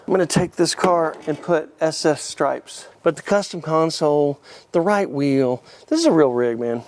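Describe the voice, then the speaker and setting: High-pitched, blunt middle-aged man. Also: darkish tone. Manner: blunt, joking, salty-tongued. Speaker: vlog host and car dealer. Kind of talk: casual, ad-libbed walkaround vlog.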